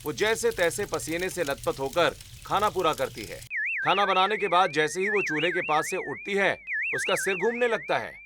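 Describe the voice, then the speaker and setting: Higher-pitched man narrating a story. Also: wildly swooping pitch, moderate pace, very noisy.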